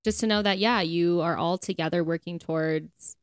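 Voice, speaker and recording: A woman's voice: lowish voice, moderate pace, no echo, clean studio sound. A woman co-hosting a faith podcast, speaking in an earnest, conversational way. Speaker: podcast co-host. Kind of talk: casual faith podcast talk.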